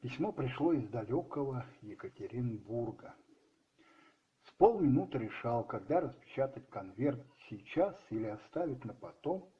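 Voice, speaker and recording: Male narrator for radio drama: theatrical and brooding, mid-pitched, slightly dull sound over music. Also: lively intonation, moderate pace.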